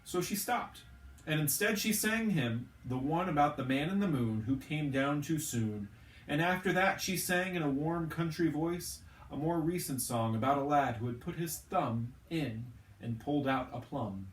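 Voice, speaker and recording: Guy narrating a storybook, expressive, sounds echoey and a bit far from the mic. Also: a little high, moderate pace. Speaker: storyteller reading a book aloud. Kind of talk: expressive audiobook-style narration of a children's novel. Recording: roomy, echoing, distant from the mic.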